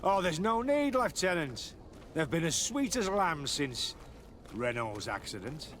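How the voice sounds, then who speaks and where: An actor playing an eager, obsequious guard underling in a video game, deferential and ingratiating. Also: male voice, a little high, big pitch swings, unhurried, fairly bright tone, slight background noise. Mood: servile.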